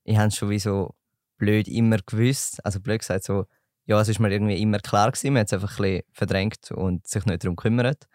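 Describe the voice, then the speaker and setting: A young man from St. Gallen with a Swiss German accent, a podcast guest chatting in a relaxed, joking way. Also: fairly low pitch, fast talker, dry recording, studio quality. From St. Gallen, Switzerland. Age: young adult. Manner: relaxed, joking, laughs while talking. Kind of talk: casual podcast chat.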